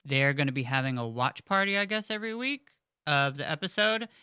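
Chatty lady cohosting a podcast, rambly, smooth clear voice. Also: low voice, animated, a little fast, pushed and bright, no room sound, studio quality. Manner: chatty, rambling with fillers and restarts. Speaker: podcast co-host. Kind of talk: casual podcast chat. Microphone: headphones on, close mic.

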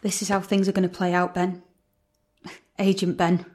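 An actress playing a betrayed, defiant fugitive in an audio drama, bitter and confrontational. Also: female voice, fairly low pitch, completely flat delivery, moderate pace, background noise, natural voice. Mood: cold defiance. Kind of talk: audio drama dialogue acting.

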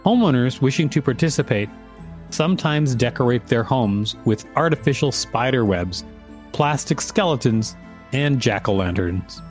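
A man's voice: medium pitch, lively intonation, moderate pace, soft background music. A man co-hosting a podcast, reading an article aloud with casual banter. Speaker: podcast co-host.

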